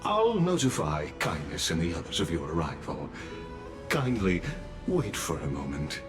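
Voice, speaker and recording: A man's voice: deep voice, wildly swooping pitch, normal speed, music in the background. An actor playing a courteous, theatrical male official in a video game, with formal and ornate politeness. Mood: ceremonious, welcoming. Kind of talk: dramatic game voice acting.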